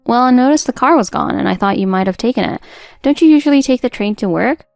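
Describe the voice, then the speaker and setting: A composed young wife from an audio story, acted by a woman, polite and innocent-sounding. Her pitch is lifted, and the recording is dry with faint music. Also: animated, quick pace, vocal fry, no echo. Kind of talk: scripted audio story dialogue.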